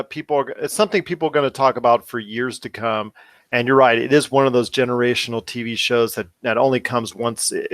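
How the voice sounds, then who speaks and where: A man with a medium-pitched voice hosts a casual podcast interview. His phrasing is wordy and rambles along. The sound is dry, with very little room in it. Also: no echo. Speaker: podcast host.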